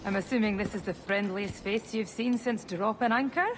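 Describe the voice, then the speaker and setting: A woman's voice: medium pitch, moderate pace, a little hiss or hum, natural voice. A woman playing a wry, friendly pirate's ally in a video game cutscene.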